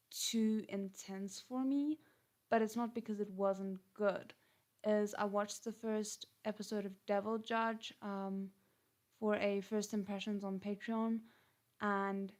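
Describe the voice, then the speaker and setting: A woman's voice: medium pitch, darkish tone. A woman with a South African accent chatting casually on a livestream, rambling with frequent restarts and fillers. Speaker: channel host.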